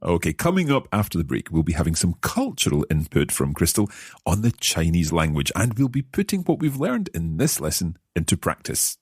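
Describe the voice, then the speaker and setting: A man's voice: sits low, wildly swooping pitch, brisk, slightly rough. A man with a Scottish accent hosting a language lesson podcast as the learner, presenting in a conversational, explanatory way. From Scotland.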